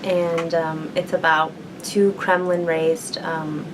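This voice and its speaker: A woman's voice: sits low, flat delivery, slow, background noise. A young woman, a university student, answering interview questions in a thoughtful way with many fillers. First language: English. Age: young adult. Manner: thoughtful, many ums and likes.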